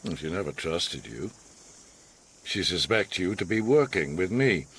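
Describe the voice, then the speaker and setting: An actor playing a manipulative, eccentric older man in a radio drama, measured, formal and theatrically commanding. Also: low voice, sing-song, a bit bright, slightly rough, heavily acted. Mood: measured, knowing. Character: manipulative, eccentric, authoritative older male host, a self-styled psychiatrist.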